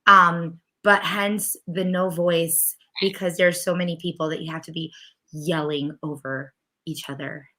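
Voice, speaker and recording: A woman with a raspy, hoarse voice that has nearly given out, chatting casually as co-host of a livestreamed TV recap show. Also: lowish voice, steady tone, unhurried, bright, forceful tone. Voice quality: raspy, strained voice. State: hoarse from losing her voice.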